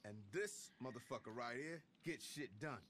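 An actor playing a commanding middle-aged American music mogul in a video game, blunt and profane. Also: male voice, mid-range voice, animated, normal speed, a bit bright, slight background noise, lightly acted. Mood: relaxed. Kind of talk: video game cutscene acting.

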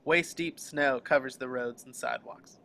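A man's voice: mid-range voice, normal speed, gravelly, pushed and bright. A man narrating the action descriptions of a screenplay in an amateur table reading. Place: online group video call.

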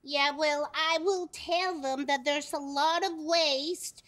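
A bubbly young monster puppet. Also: female voice, sits high, takes it slowly. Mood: chatty. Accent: Mexican Spanish. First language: Spanish. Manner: bubbly, excitable, hesitant fillers. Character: cheerful young female monster puppet, five. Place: television studio set.

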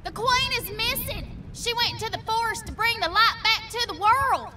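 A woman's voice: extremely high pitch, moderate pace, pushed and bright, slight background noise. A woman voicing a worried, earnest fantasy townswoman in a video game. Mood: worried, urgent. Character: worried fantasy townswoman giving quest information.

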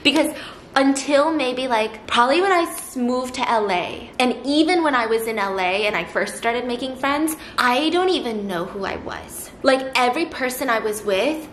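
A young woman hosting a casual chat, telling a story quickly and self-deprecatingly, with a lot of "like". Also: a little high. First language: Korean. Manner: fast, animated, self-deprecating storytelling with lots of "like". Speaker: YouTube host. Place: living room, seated on a round sofa. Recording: echoey room with faint noise.

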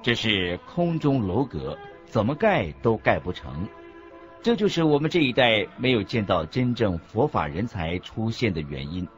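Expressive man narrating, dull recording with music. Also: fairly low pitch, moderate pace. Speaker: narrator of a Buddhist radio lecture. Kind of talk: scripted dramatized religious narration. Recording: dull lo-fi audio with background music.

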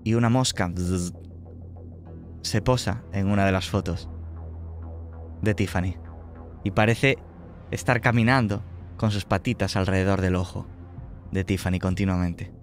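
A man's voice: deep voice, measured pace, pauses often, soft background music. A man with an accent from Spain, narrating a suspenseful tabletop roleplaying game as game master. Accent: Spanish from Spain.

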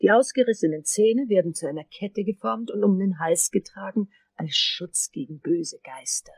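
An actress playing an eerily calm, hypnotically coaxing dentist in a crime radio drama, speaking in a breathy way. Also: female voice, mid-range voice, normal speed, dry recording. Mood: assured, mysterious. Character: eerie, hypnotically soothing female dentist, sinister beneath the calm.

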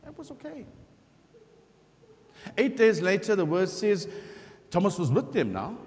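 A middle-aged man, a parish priest, preaching a sermon in a large church with emphatic pauses and voiced scripture quotes. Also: high-pitched, very animated, a little mellow. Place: large church sanctuary before the altar. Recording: long church reverb.

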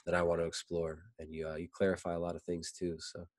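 A man's voice: low-pitched, completely flat delivery, fast, darkish tone. A man in his thirties, a podcast guest chatting casually over a video call, loose and rambling with lots of "like" and "you know". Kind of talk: casual conversation. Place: indoor room. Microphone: video call, desk mic.

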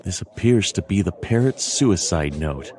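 A man playing a world-weary fox detective, deadpan and brooding, narrating a noir game in terse lines. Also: deep voice, a little slow, background noise. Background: game music.

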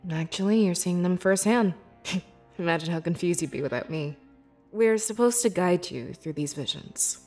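Woman doing a loyal young furry scribe girl for an rpg, kinda low, measured and sincere. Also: darkish tone, faint music behind, close to an everyday voice. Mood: explanatory, friendly. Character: young female red-furred beast scribe, devoted and earnest. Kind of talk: scripted video game character dialogue.

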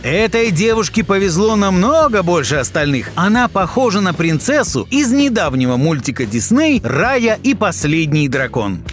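A man's voice: high-pitched, sing-song, moderate pace, few pauses, soft background music. A man reading scripted narration for an entertainment video, chatty and teasing, addressing the listener directly.

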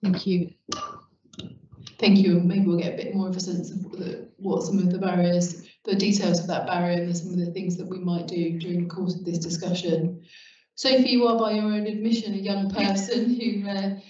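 Lady moderating a panel, low voice, dull distant boomy sound. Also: level tone, normal speed, dark tone, a little hiss or hum. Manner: polite, welcoming. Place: university seminar room with audience. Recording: very echoey room, far from mic, dull.

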